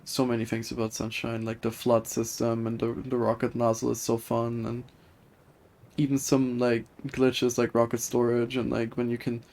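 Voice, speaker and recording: Game streamer, mid-pitched voice, casual off-the-cuff commentary, rambling and hesitant. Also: monotone, normal speed, darkish tone. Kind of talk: casual live gameplay commentary, chatty.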